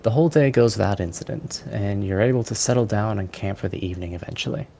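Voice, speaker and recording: A man's voice: fast talker, creaky, dark tone. A man narrating a tabletop game as game master, in a storytelling style. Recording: faint background noise and rumble.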